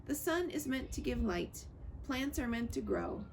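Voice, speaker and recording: Lecturing owl for a storybook female reader, assured, instructive, fairly high voice. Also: lively intonation, unhurried, creaky, slight background noise. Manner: declarative, instructive. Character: lecturing owl, confident and respectful. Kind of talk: storybook character voice.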